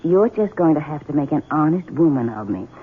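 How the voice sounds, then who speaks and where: An actress playing a coquettish, manipulative young Southern belle in an old radio drama, cooing and wheedling with an American Southern accent. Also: female voice, sits low, expressive, a little fast, slightly rough. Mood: coy, teasing. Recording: tinny, noisy vintage radio recording.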